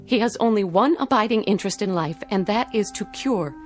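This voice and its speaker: A woman's voice: medium pitch, lively intonation, brisk, natural voice. A woman playing an indignant young wife. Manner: earnest, protesting. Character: indignant young doctor's wife defending her husband. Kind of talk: 1960s TV drama acting. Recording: old TV soundtrack with faint music.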